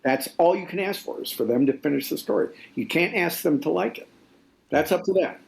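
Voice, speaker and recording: A man speaking as an author guest in a conversational interview, explaining deliberately and repeating phrases for weight. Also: on the high side, vocal fry, poor audio. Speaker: nonfiction author, podcast guest.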